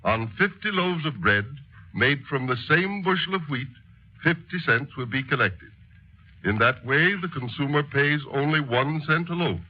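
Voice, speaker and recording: An actor playing an earnest schoolmaster turned politician, explaining emphatically in 1930s radio style. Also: male voice, on the high side, expressive, normal speed. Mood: didactic. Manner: didactic, emphatic. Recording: muffled old 1930s radio recording.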